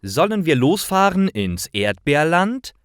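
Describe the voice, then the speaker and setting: A cheerful storybook mother, played by a male narrator, speaks in a raised, coaxing voice. Her lines swing brightly up and down, clearly pronounced and dry. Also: a little high, big pitch swings, measured pace, dry recording, pitched above the performer's own voice. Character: cheerful mother taking her children strawberry picking. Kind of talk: storybook reading for language learners.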